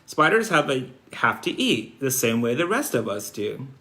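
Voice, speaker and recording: A man reading aloud as an earnest, imaginative little farm girl in a storybook, insistent and excited as a storyteller. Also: medium pitch, normal speed. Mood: defiant, excited.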